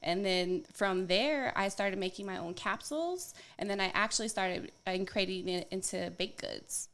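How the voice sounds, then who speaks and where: A soft-voiced woman in a studio podcast interview, talking candidly and casually with lots of fillers. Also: mid-range voice, lively intonation, normal speed. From Colorado, United States. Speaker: guest wellness coach. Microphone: podcast studio mic.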